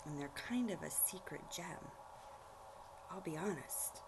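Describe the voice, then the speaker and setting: A woman reading a storybook aloud like a teacher, pausing to explain things directly to young listeners. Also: low-pitched, lively intonation, gravelly, background noise. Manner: explanatory, addresses children directly.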